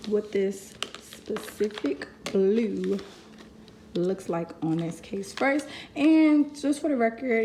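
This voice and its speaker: A woman's voice: mid-range voice, warm tone, slight background noise. A young woman hosting a casual unboxing review, chatty and talking to viewers as "you guys", getting over a cold. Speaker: vlogger host. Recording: echoey room.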